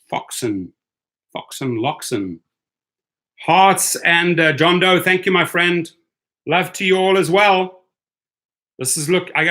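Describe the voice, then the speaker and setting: A man hosting a casual livestream, upbeat, greeting viewers and repeating his phrases. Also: mid-range voice. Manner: upbeat, repeats phrases, gives shout-outs. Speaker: livestream host.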